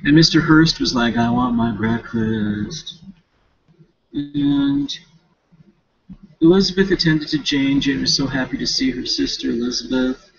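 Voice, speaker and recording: A man giving a casual, rambling recap as a student, talking half to himself in informal, slangy, halting phrases with self-corrections on a dull home recording. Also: mid-range voice, very animated, vocal fry, husky. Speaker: student reading a novel for a class paper.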